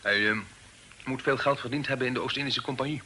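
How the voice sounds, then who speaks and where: An actor playing a polite, bashful young gentleman traveller in a radio drama, speaking hesitantly. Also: medium pitch, fast, lightly acted. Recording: noisy old radio recording.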